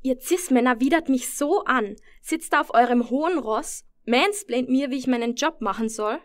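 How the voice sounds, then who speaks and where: A woman's voice: high-pitched, normal speed, dry recording, lightly acted. A young woman playing a combative, self-assured intern detective in an audio drama parody, assertive and indignant.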